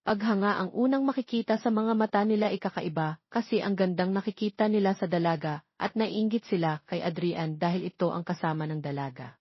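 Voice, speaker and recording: A woman's voice: lowish voice, a little fast, slightly rough, no echo, dull audio. A woman reading scripted, dramatic romance fiction as a story narrator.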